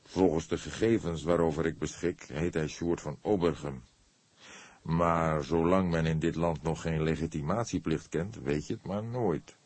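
A man voicing a gruff older police detective in an audiobook, dry and instructing. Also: very deep voice, moderate pace, darkish tone, dry recording.

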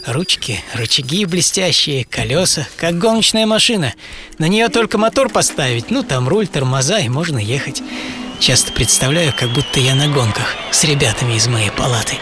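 A man's voice: on the high side, animated, moderate pace, natural voice. Man playing a dramatic bedridden boy over music. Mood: dreamy, eager. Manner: theatrical, short clipped phrases. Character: bedridden eleven-year-old boy, dreamy, defiant, thrilled by racing. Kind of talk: dramatic first-person monologue in radio play.

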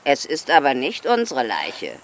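A woman's voice: very deep voice, huge pitch swings, a little slow, gravelly, noisy background, heavily acted. An actress playing a bossy, scornful village woman with a curt, disdainful manner in a naturalistic radio drama.

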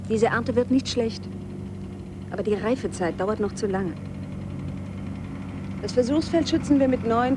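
A woman's voice: on the high side, background noise, close to an everyday voice. Composed woman scientist over a humming background acted. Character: matter-of-fact female biologist dictating a letter report. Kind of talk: radio drama letter monologue.

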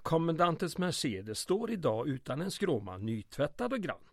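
A long-suffering mayor in an old-time radio play, his voice a little high for a man. He speaks in weary, formal, sardonic phrases, recorded dry and close. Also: very animated, gravelly, no room sound. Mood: gloomy, resigned. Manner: dry, formal, wordy sarcasm. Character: weary, sardonic male small-town mayor under occupation.

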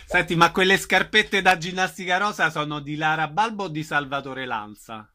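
A man's voice: fairly high pitch, moderate pace, bright, forceful tone. A man interviewing guests on radio, asking casual, conversational questions.

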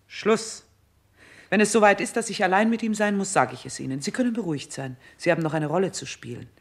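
A woman's voice: medium pitch, quick pace, breathy edge. An actress playing a stern, scornful older queen in a 1960s radio play, with speech and an imperious, declamatory delivery. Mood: commanding. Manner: imperious, biting, declamatory, emphatic enumerations.

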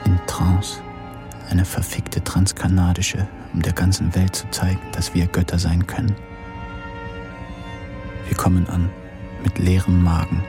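A deep, gravelly brooding young man. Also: monotone, unhurried, long pauses, music in the background. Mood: dark, exalted. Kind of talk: radio drama monologue.

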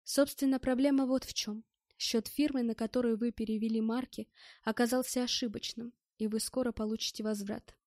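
Женщина читает реплики сдержанного, вежливого героя-мужчины из аудиокниги, говоря успокаивающе и чуть лукаво. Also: female voice, a little high, brisk, dry recording, studio quality, lightly acted. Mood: composed. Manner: restrained, polite, calming. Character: composed, reassuring male first-person hero, slyly polite.

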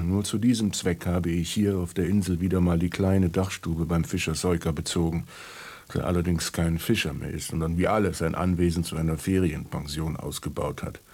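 Deep, gravelly musing writer. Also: male voice, mellow, exaggerated voice. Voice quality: gravelly, husky. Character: wry, musing male writer narrating his cat story. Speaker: narrator. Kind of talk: radio play narration for children.

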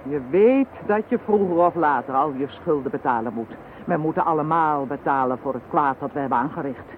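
An actor playing a stern, accusing man in a Dutch radio drama, speaking solemnly and deliberately. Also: fairly high pitch, animated, lightly acted. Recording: muffled narrow-band phone-like audio, noisy.

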